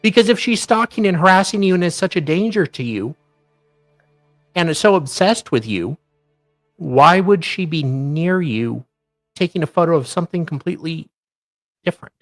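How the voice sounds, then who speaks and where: Male co-host, higher-pitched, theatrical and mocking, reading aloud and commenting over background music. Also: expressive, normal speed, long pauses, no echo. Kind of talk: dramatic reading of legal filings with commentary.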